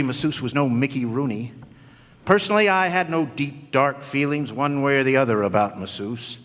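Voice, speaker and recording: Man playing a deadpan noir private eye, echoey hall. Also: medium pitch, natural voice. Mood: sardonic. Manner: deadpan, world-weary. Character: cynical hardboiled male private detective narrating his case. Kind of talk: 1950s noir radio drama narration. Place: large hall, live stage performance. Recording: echoey hall, stage performance.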